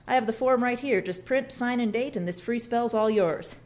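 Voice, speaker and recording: A woman reading a pushy, chipper door-to-door saleswoman with brisk sales patter. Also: a little high, a little mellow, natural voice. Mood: salesy.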